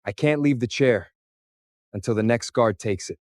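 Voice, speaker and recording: A man's voice: medium pitch, normal speed, darkish tone, no echo, studio quality, voice pushed up, lightly acted. A man voicing a reserved, dutiful young lifeguard who answers plainly and tersely in an audio romance. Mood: reserved, firm.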